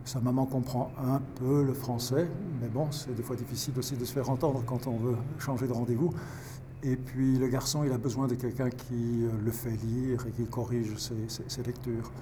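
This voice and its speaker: A man in his fifties, a pastor volunteering as a tutor, answering interview questions in a halting way with many restarts. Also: mid-range voice, little pitch movement, background noise. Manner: halting, restarts words often.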